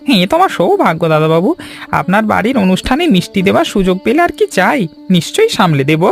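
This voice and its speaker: A man playing a humble, devoted village sweet-maker and father in an animated story, earnest and fatherly. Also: sits high, fast, creaky, full character voice. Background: background music.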